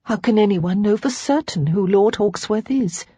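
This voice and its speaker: A woman narrator voicing Rachel, a kind, gentle elder sister who speaks softly and steadily. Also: medium pitch, normal speed, breathy edge, dry recording, pitched above the performer's own voice, lightly acted. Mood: soothing. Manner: soft, soothing, steady.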